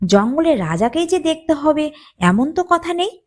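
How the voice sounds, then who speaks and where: A woman's voice: high voice, very animated, moderate pace, a little mellow, pitched above the performer's own voice. A woman voicing a kindly pigeon in a children's story, giving advice in a persuasive way. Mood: gently advising. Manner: advising, persuasive.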